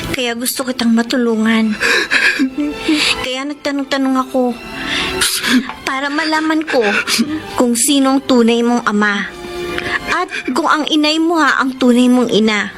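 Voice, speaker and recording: A protective, sympathetic young friend in a radio melodrama. She speaks earnestly and reassuringly in a high voice, with music behind her. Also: measured pace, long pauses. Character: sympathetic, protective older girl friend Pia. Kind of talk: Filipino radio melodrama acting.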